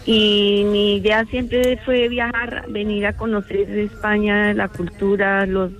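A woman's voice: medium pitch, flat delivery, takes it slowly, low-quality recording. Colombian-born lady on a call-in line, chatty storyteller, faint music under her. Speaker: radio caller. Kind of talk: phone-in chat.

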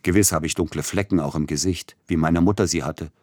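A man's voice: low-pitched, a little fast, darkish tone, no room sound, clean studio sound. A man reading the part of a dying composer in a fever, agitated and exclamatory, swinging between accusation and tenderness, in an audiobook. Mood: weak, anxious.

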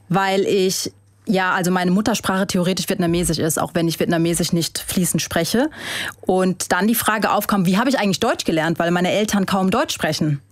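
A young woman from Germany talks as an interview guest, reflective and unhurried, with the odd filler and restart. Her voice sits at a middling pitch for a woman. The sound is dry, with no room around it. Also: dry recording. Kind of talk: thoughtful interview answers.

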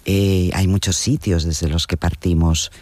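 A man's voice: deep voice, level tone, a little slow, darkish tone, no room sound. A man interviewing a writer, asking long, musing questions that often end with a tag question. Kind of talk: conversational interview.

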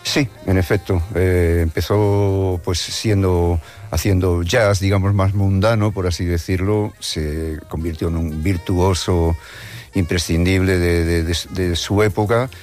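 Deep-voiced man from Madrid, halting. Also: fairly even intonation, a little mellow, soft background music. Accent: Spanish from Madrid. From Madrid, Spain. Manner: thoughtful, halting, many restarts. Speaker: musician guest. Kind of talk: radio interview.

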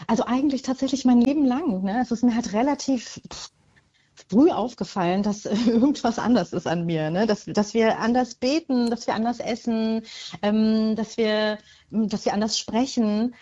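A woman's voice: fairly high pitch, moderate pace, mellow, dull audio. A middle-aged woman from Germany answering as an author in an interview, reflective and hesitant, repeating words for emphasis.

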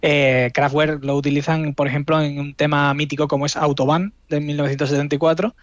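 A man giving an informal, explanatory radio music segment, often pausing mid-phrase and tagging his points for agreement. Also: fairly high pitch, flat delivery, no room sound, highs cut off. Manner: halting, explanatory, seeks agreement with tags. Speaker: radio music section contributor. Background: music playing underneath.

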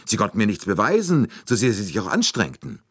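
A man voicing a hot-tempered Italian former chauffeur with an Italian accent, who talks loud, quick and indignant. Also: deep voice, wildly swooping pitch, husky, pitched above the performer's own voice, full character voice. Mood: defiant.

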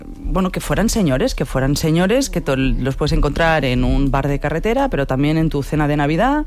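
A man's voice: a little high, very animated, moderate pace. A man, a director, answering interview questions thoughtfully with frequent hesitations. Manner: thoughtful, hesitant, many "em" and restarts.

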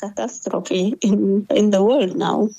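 A woman's voice: medium pitch, fairly even intonation, takes it slowly, gravelly, mellow, husky, no room sound, highs cut off. A woman from Sudan with a Sudanese accent, a native Arabic speaker, answering questions as an interviewed writer.

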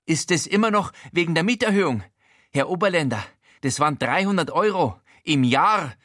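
Man acting a high, exasperated landlord. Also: lively intonation, moderate pace, fairly bright tone, no room sound, clean studio sound. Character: grumbling, self-justifying male landlord, exasperated.

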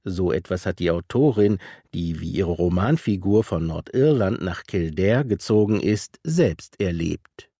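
A man with a low, mellow, slightly husky voice narrates a radio culture feature. His pitch moves a lot, and the recording is dry and close. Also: lively intonation, measured pace, few pauses, no echo. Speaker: culture reporter.

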